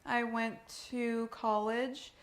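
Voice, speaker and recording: A woman's voice: fairly high pitch, flat delivery, slow. A woman in her twenties, a model answering interview questions in a casual, giggly way, full of "like" and "um.". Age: 20s. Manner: giggly, uses "like" and "um" a lot. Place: indoors at a rented home.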